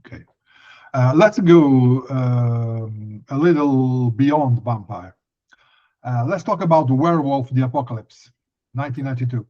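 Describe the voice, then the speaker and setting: A man hosting a podcast interview, putting prepared questions in a halting, hesitant delivery. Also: medium pitch, dark tone, dull audio.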